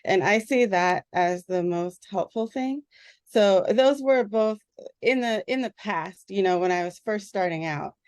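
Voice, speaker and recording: A woman guest, a writer and editor, chatting casually in a hesitant, halting way. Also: mid-range voice, a little mellow, no echo. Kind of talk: casual chat.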